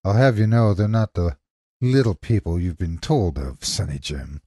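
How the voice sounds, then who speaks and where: A man voicing a drunken old Irish immigrant in a horror story, folksy and emphatic, with a husky voice. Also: low voice, moderate pace, warm tone, no echo, clean studio sound. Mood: knowing, emphatic.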